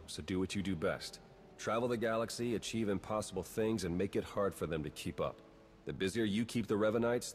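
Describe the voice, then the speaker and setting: An actor playing a wry, terse, roguish spy in a video game, dry and matter-of-fact. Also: male voice, low voice, a little hiss or hum. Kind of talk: cinematic video game acting.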